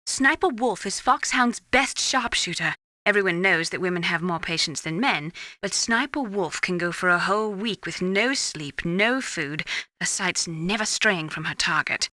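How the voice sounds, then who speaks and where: A woman's voice: fairly low pitch, lively intonation, moderate pace, sharp tone, no echo. A woman playing a poised, clinical female scientist advising a soldier in a video game, speaking in a precise, explanatory way. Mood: clinical, measured. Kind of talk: video game radio briefing acting.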